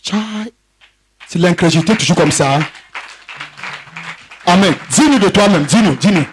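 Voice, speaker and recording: A man's voice: sits high, normal speed, a faint crowd, a little hiss or hum. A man speaking as a pastor leading a church testimony, exhorting and commanding, repeating his phrases insistently. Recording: music behind the voice.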